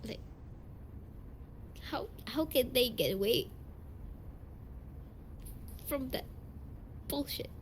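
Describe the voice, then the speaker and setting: Girl voice actor doing young tsundere delinquent woman, halting and emotional, sweary audio roleplay, noisy background. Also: high-pitched, animated, heavily acted. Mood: upset, indignant. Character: tearful young tsundere delinquent woman, secret company heiress. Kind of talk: amateur audio roleplay, intimate dramatic monologue.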